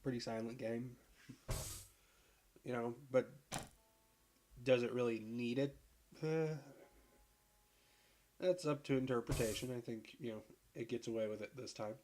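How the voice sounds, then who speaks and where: A man with a deep voice, hoarse from a cold, giving relaxed, rambling commentary while playing a puzzle game. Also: unhurried, pauses often. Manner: relaxed, rambling, clears throat and laughs at himself. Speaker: gaming video creator. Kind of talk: casual gameplay commentary.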